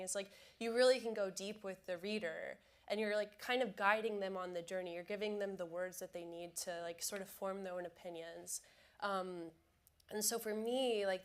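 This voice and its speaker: Nasal-sounding woman, a little sick. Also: mid-range voice. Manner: upbeat, chatty, laughs easily. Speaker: panel guest, author. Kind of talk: conference panel discussion.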